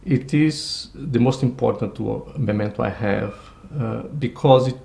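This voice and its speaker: A man in his sixties, telling a personal family story with hesitant pauses and fillers. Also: mid-range voice, gravelly, mellow. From Brazil. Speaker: interviewee.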